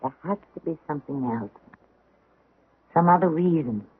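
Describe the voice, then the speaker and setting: A woman's voice: low-pitched, expressive, moderate pace, slightly rough, narrow, tinny audio, full character voice. A woman playing an anguished, devoted middle-aged stage actress in a theatrical, intense 1970s radio drama style. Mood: anguished, searching.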